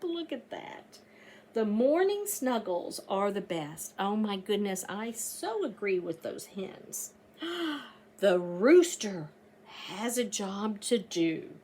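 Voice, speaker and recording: A middle-aged woman reading aloud to young children like a teacher, sing-song and animated, often breathy, stretching key words and putting questions to her listeners. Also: a little high, a little hiss or hum. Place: small indoor room, seated by a door.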